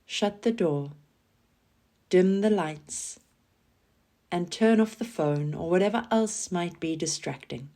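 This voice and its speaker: A woman leading a scripted guided relaxation meditation in a calm, soothing manner, giving gentle instructions with pauses. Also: on the low side. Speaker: yoga nidra guide.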